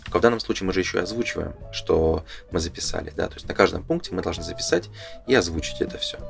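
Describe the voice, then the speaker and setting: A man's voice: deep voice, quick pace, vocal fry, over music. A man giving casual, unscripted explanatory commentary, thinking aloud hesitantly as he reads through a booklet. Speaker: presenter reading through a game booklet.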